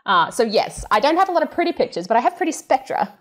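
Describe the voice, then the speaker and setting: A woman speaking as a guest scientist, explaining things in an informal, animated conversational way and acting out imagined remarks. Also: sits high, fast talker.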